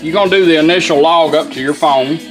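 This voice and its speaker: A man's voice: on the high side, fast, low-quality recording. A plain-spoken, folksy man giving a hands-on how-to demonstration. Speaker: product demonstrator. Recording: music from a radio playing behind.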